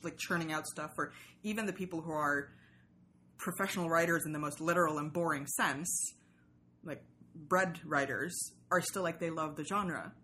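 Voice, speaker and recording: A woman's voice: deep voice, sharp tone. A woman being interviewed as a podcast guest, explaining things casually in a rambling, slangy way. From Sweden. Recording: clearly noisy background ambience.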